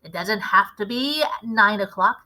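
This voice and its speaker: A woman voicing an imperious, gloating Grand High Witch with a theatrical, deliberate, commanding delivery in a storybook reading. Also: bright, forceful tone, low-quality recording.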